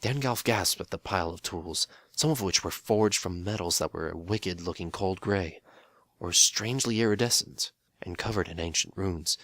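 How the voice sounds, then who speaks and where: A man narrates a dramatic story reading in a steady, wry way. His voice is very low. The recording is close and dry. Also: moderate pace, no room sound.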